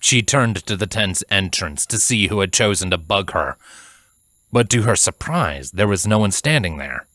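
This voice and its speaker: A man reading scripted fantasy fiction as narrator, in a measured, dramatic storytelling manner. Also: low-pitched, expressive, a bit bright, no echo, studio quality.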